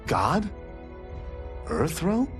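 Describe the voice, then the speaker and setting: A cocky young fighter in a video game. He is sceptical and brash, with lively swings of pitch and an unhurried delivery. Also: music in the background, full character voice. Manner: cocky, questioning. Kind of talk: video game cinematic acting.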